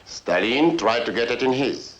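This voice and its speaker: A man's voice: mid-range voice, normal speed, close to an everyday voice, poor audio. A man playing a cold, zealous Soviet general in an old 1950s film, speaking in a grandiose, declamatory way.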